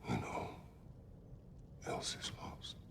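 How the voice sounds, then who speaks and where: An actor playing a weary, remorseful warrior god in a video game, grave and stern, speaking in slow, halting phrases with a deep, gravelly voice. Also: male voice, long reverb tail, background noise, natural voice. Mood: grave, bitter. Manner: slow, halting, solemn, dropping to breathy near-whispers. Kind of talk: dramatic video game monologue.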